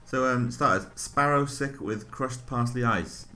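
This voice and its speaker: A man co-hosting a podcast, reading absurd items in a flat, deadpan way. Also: medium pitch, measured pace. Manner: deadpan, reads absurd menu items flatly. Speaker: podcast co-host. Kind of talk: deadpan comedic chat.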